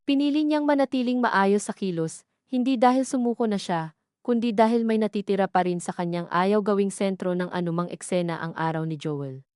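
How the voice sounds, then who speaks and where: A woman's voice: medium pitch, a little mellow, clear, resonant tone, no echo, clean studio sound. A woman reading a scripted dramatic audio story as its narrator.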